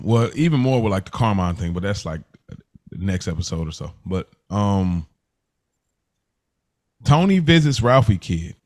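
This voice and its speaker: A man with an American accent and a fairly deep voice leads a casual recap discussion. His phrasing is informal, and he often puts questions to the others at the table. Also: a little mellow. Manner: informal, poses questions to co-hosts. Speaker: podcast host.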